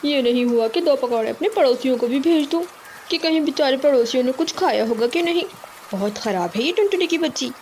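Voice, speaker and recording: A spiteful, greedy female crow from a cartoon fable, acted by a woman. She speaks in a high voice, nagging and scolding as she demands what she wants. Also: animated, fairly quick, a little mellow, a little hiss or hum. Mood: resentful envy.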